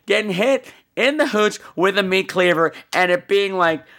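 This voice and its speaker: Campy, animated American man with a cold. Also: sits high, normal speed. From United States. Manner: campy, bawdy, animated delivery. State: sick with a cold, phlegmy. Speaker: podcast host. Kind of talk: casual, rambling comic monologue.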